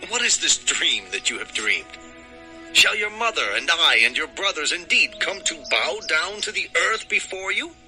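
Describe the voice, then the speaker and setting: Old bible father, bossy n emphatic, very sharp voice, bit of music behind. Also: on the high side, big pitch swings, normal speed, exaggerated voice, low-quality recording. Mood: indignant rebuke. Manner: commanding, emphatic. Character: Israel, authoritative old patriarch father of many sons. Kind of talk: dramatized audio Bible acting.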